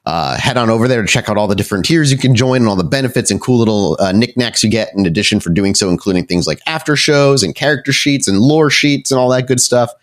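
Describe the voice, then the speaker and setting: A man's voice: mid-range voice, quick pace, few pauses. A man hosting and narrating a tabletop role-playing podcast as storyteller, moving between casual chat and cinematic scene-setting. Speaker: storyteller and host.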